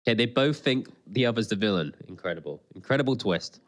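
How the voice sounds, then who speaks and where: Excitable, lower-voiced man with swooping pitch. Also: fast. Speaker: podcast co-host. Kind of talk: casual episode watch-along commentary.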